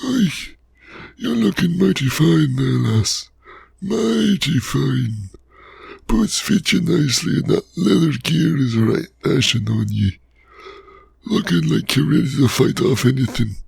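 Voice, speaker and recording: A man's voice: a little high, expressive, slow, vocal fry, breathy edge, dry recording, voice pushed up, exaggerated voice. A man doing a jovial rustic shopkeeper. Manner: homely rustic dialect, jovial salesman patter. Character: folksy, chatty rustic male shopkeeper, secretly scheming. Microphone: close ASMR microphone.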